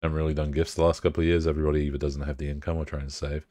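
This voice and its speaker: A man's voice: a bass voice, little pitch movement, rapid-fire, mellow, dry recording, clean studio sound. A young man streaming a game, chatting casually with lots of swearing, sounding a bit bunged up. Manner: profane, laid-back banter with chat. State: congested, bunged up. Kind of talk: casual live-stream chat.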